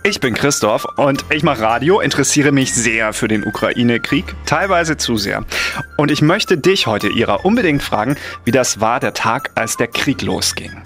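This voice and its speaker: A man's voice: mid-range voice, lively intonation, moderate pace, fairly bright tone, faint music behind. A male radio host in casual podcast chat, asking curious questions.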